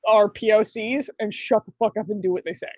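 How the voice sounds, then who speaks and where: A woman co-hosting a casual chat, brisk, blunt and profane. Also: mid-range voice, steady tone, a little mellow, no room sound. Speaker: podcast co-host. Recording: dull lo-fi mic.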